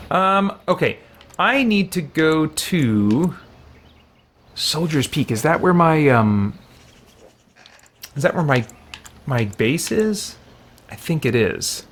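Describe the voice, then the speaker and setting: A man's voice: fairly high pitch, lively intonation, very slow, long pauses, a little hiss or hum. A middle-aged man doing casual gameplay commentary, thinking aloud in a hesitant, musing way. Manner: hesitant, musing, mutters to himself. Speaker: game streamer.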